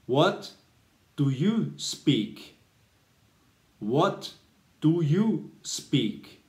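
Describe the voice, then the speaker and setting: A male language teacher from Austria speaks at an extremely slow, deliberate pace and repeats every phrase for learners. His voice sits a little high for a man. It rings in a roomy, echoey space. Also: sing-song. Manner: says each phrase twice, spells words out. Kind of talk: slow repeated beginner lesson drill. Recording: roomy echo.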